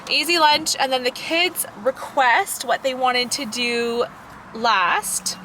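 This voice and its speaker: A woman in her thirties with a fairly high voice talks in an easy, casual vlog style, as a mom narrating her day. Some ambient noise sits behind her. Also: takes it slowly, pushed and bright, rough recording. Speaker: family vlogger and homeschooling mother. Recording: clear background noise.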